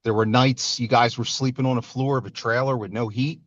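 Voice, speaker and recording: A man's voice: mid-range voice, steady tone, fairly quick, dry recording. A man hosts a podcast over a remote video call, speaking earnestly and calling his guest man and brother. His voice sits in a dry, close sound with a slightly dull, lo-fi tone. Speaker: podcast host. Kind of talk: casual interview intro.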